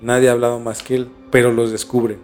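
A man's voice: mid-range voice, level tone, quick pace, a little mellow, faint music behind. A man with a Mexican accent explaining things in casual conversation as a podcast co-host, informally and repeating words for emphasis.

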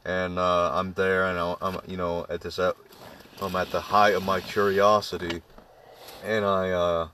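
A man with a deep voice telling a spooky personal story to friends, halting with many fillers and restarts. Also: little pitch movement, pushed and bright, distant mic, a little hiss or hum. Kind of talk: casual ghost story among friends.

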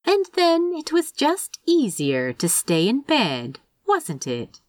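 A woman reading a story aloud in an expressive, wry and conversational way. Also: sits high, slow pace, no echo. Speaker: fan fiction narrator. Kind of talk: expressive scripted story narration.

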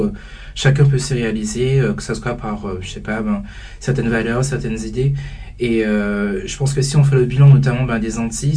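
A man's voice: mid-range voice, warm tone, slightly rough, recorded from a distance. A young man who heads a student association, speaking hesitantly in a panel discussion, with many fillers and restarts. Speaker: student association president, guest.

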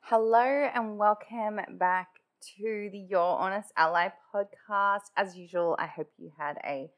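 A woman's voice: medium pitch, measured pace. A woman hosting her own podcast in casual, chatty talk, with a nasally, congested voice after just waking up. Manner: chatty, many "like" fillers. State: just woken up. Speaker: podcast host. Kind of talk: casual solo podcast chat.

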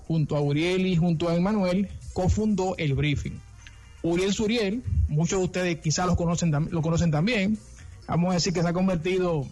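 A man's voice: sits high, darkish tone. A Dominican man co-hosting a radio interview, chatty and introducing the guests at length with many restarts. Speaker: co-host. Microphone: remote call.

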